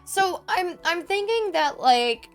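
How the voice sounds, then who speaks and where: A woman's voice: extremely high pitch, lively intonation, takes it slowly, soft background music. A woman giving casual gameplay commentary into a desk microphone at home, chatty and full of "um" and "like". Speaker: let's play gamer.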